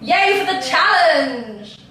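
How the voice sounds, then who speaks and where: A woman's voice: high-pitched, big pitch swings, slow, sharp tone, slight background noise. A young woman from Denmark with a Danish accent, chatting casually and giggling as she hosts a vlog. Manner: giggly, chatty, self-mocking. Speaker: vlog host. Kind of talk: casual chat. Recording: echoey room, mic far off.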